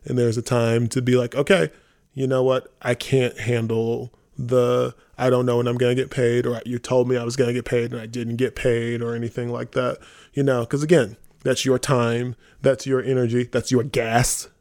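Gravelly man in casual chat. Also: medium pitch, little pitch movement, moderate pace, darkish tone, no room sound, studio quality. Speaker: theatre company podcast speaker, performer.

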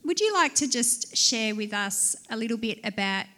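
A woman with a somewhat high voice opens a small live seminar as host. She is friendly and a little hesitant, with plenty of fillers between phrases. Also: expressive, moderate pace, pushed and bright. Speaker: seminar host, assistant director. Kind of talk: live event introduction. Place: seminar room with a small audience.

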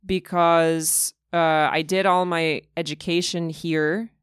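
A young woman from Moscow, a stand-up comic, chatting as a guest with jokes, giggles and halting restarts. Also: lowish voice, fairly even intonation, clear, resonant tone, no room sound, clean studio sound. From Moscow, Russia. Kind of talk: casual interview chat.

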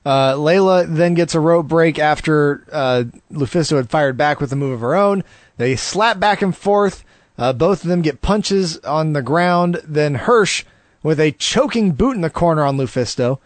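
High-voiced man recapping wrestling. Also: expressive, moderate pace, no echo. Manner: play-by-play recapping, laughs easily. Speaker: podcast co-host. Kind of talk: casual wrestling recap chat.

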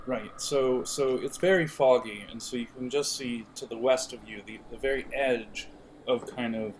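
A man's voice: medium pitch, moderate pace, a little hiss or hum, poor audio. A man running a tabletop game as game master, improvising casual narration and often searching for his words. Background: music under the voice.

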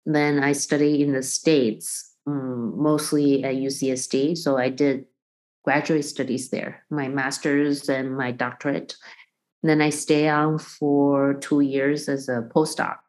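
A woman's voice: extremely low pitch, flat delivery, slow, a little mellow. A middle-aged woman from Taiwan, a guest on an interview, who explains things thoughtfully with examples and small restarts. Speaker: guest, percussion professor. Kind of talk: conversational interview.

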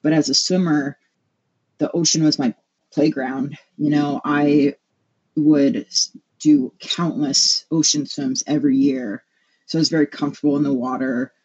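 A woman's voice: low-pitched, steady tone, a little slow, darkish tone, breathy edge. A woman from Hawaii with an American accent, answering interview questions in a conversational, reflective way. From Hawaii, USA. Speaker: interview guest, photographer. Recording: dull, lo-fi remote-sounding audio.

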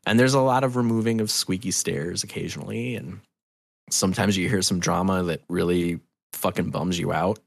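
A man's voice: low-pitched, steady tone, normal speed, gravelly, no echo. A middle-aged man talking casually and candidly as a podcast guest, earnest and rambling, with restarts and loose swearing. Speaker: podcast guest, audio drama creator.

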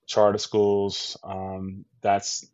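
A man's voice: lowish voice, completely flat delivery, extremely slow, a little mellow, dry recording. A man co-hosting a podcast in casual chat, enthusiastic and rambling, full of fillers and restarts, from the US East Coast. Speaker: podcast co-host. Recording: dull lo-fi dry sound.

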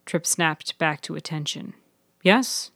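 A woman's voice: low voice, unhurried, no room sound, clean studio sound. A woman reading a scripted serial story as its author and narrator.

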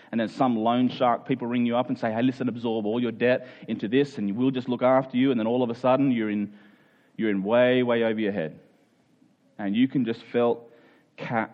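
A man's voice: medium pitch, dull audio. A man preaching a sermon in an earnest, exhorting manner, hammering short phrases home through repetition. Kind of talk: sermon with scripture reading and prayer.

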